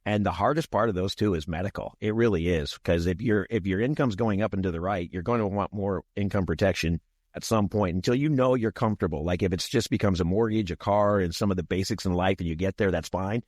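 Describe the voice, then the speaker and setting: A man's voice: low-pitched, brisk, darkish tone, no room sound, clean studio sound. A man in his sixties co-hosting a podcast, talking in a folksy, repetitive way with the odd chuckle. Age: 60s. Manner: folksy, repeats himself, chuckles. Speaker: podcast co-host. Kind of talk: casual podcast discussion.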